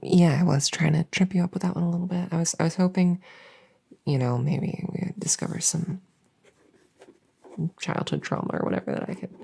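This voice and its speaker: A woman playing a silly, harmless monster from under the bed in an ASMR audio roleplay, rambling softly and hesitantly with awkward earnestness. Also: fairly low pitch, a little fast, vocal fry, pauses often, slight background noise. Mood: hesitant, curious. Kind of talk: ASMR audio roleplay, one-sided improvised-sounding interview.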